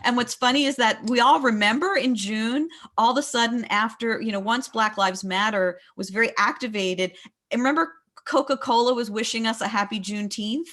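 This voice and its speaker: A woman's voice: fairly high pitch, brisk, a bit bright. A woman leading a book discussion in a conversational way, often repeating words as she forms a point. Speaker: discussion leader at a book talk.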